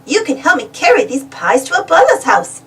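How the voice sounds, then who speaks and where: High-pitched woman reading a children's storybook. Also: a little fast, distant mic. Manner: expressive, asking questions directly to young listeners. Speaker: storybook reader. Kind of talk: animated read-aloud storytelling for young children.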